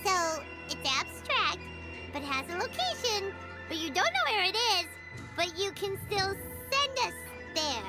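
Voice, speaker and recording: A tiny female fairy from a video game, squeaky, childlike and extremely high. She is excitable and quick to take offence, with wide melodic swings and drawn-out pacing. Loud music plays underneath. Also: full character voice, poor audio. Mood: puzzled, suspicious. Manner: refers to self in third person, petulant.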